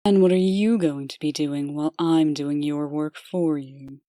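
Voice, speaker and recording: A woman's voice: deep voice, fairly even intonation, a little slow, mellow, no room sound, clean studio sound. A woman playing a cool, businesslike, exasperated deputy in a comic dub, terse and skeptical. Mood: irritated. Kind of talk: comic dub character acting.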